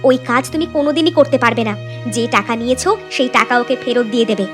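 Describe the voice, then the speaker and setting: A woman's voice: fairly high pitch, quick pace, fairly bright tone, faint music behind, close to an everyday voice. A woman voicing a stern, upright village wife in a cartoon story, firm and scolding. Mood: reproachful.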